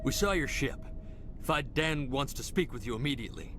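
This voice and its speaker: A man's voice: mid-range voice, animated, normal speed, background noise, natural voice. A man playing an anxious colonist lookout who pleads for help in a video game. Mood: urgent. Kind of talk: video game dialogue acting.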